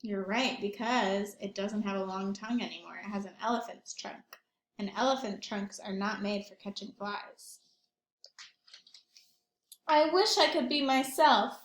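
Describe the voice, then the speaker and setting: A woman's voice: medium pitch, fairly bright tone, echoey room, a little hiss or hum. A woman reading a picture book aloud to young children as a teacher would, expressively, with questions and asides to her listeners.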